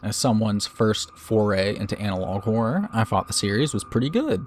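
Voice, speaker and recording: A man's voice: fairly low pitch, sing-song, normal speed, slight background noise. A man narrating a video review in a conversational scripted style, with informal, chatty asides as host. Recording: narration over background music. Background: background music.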